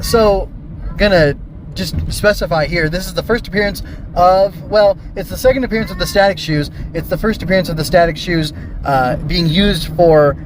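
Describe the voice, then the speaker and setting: A man's voice: on the high side, background noise. A man hosting a casual solo review podcast, rambling and thinking aloud as he works through his notes. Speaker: podcast host reviewing an audio drama.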